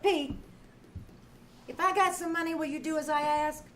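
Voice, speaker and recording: An actress plays a melodramatic young fiancee with a scheme in mind. Her voice is extremely high and theatrical, in old-time radio style. A faint noise sits behind her on the live recording. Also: steady tone, moderate pace, a bit bright. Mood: coaxing.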